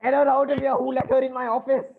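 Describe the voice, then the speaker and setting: A man's voice: mid-range voice, very animated, a little fast, lightly acted. A man acting as a flustered bank manager in a stage farce, with a widely swooping voice. He is fussy, over-polite and exasperated, on a thin, tinny recording with faint noise. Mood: frantic, outraged. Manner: stammering, fussy, over-polite turning frantic. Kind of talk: comic stage-play acting. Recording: tinny band-limited audio, faint noise.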